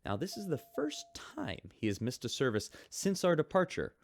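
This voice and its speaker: A man's voice: on the high side, huge pitch swings, moderate pace, creaky, exaggerated voice. A man voicing a chatty, wry radio news host aboard a starship, reading scripted reports.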